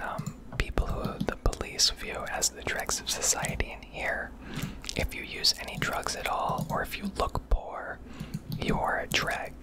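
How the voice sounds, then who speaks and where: A woman speaking in a breathy, unvoiced whisper, rambling conversationally in the style of an ASMR gameplay video. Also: extremely high pitch, rough recording. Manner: rambling, conversational, swears casually. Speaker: video host.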